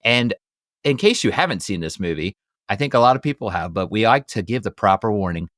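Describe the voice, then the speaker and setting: A middle-aged American man hosting a podcast in a lowish voice. He is chatty and enthusiastic, recorded close and dry with no room sound. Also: sing-song, brisk, a bit bright, no room sound. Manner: upbeat, enthusiastic. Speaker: podcast host.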